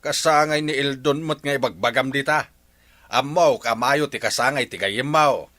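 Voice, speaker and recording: A man's voice: mid-range voice, moderate pace, sharp tone, no room sound, natural voice. An actor playing a stern, questioning middle-aged father with an Ilocano accent in a radio drama. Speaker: father character.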